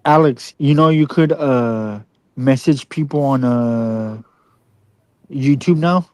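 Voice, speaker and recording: A man chiming into a casual live stream with loud, jokey interjections. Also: medium pitch, slow, no echo.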